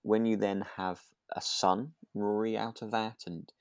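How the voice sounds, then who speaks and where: A man's voice: fairly low pitch, flat delivery, measured pace, no echo, studio quality. A man chatting casually as a podcast co-host, thoughtful and tentative, often seeking agreement. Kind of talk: relaxed chat discussing a radio drama.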